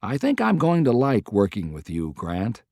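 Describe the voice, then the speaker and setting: A man's voice: lowish voice, huge pitch swings, moderate pace, darkish tone, dry recording, clean studio sound. A man voicing a plain-spoken, weary male American president with a frank, folksy manner, in an audiobook. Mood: wry, warm.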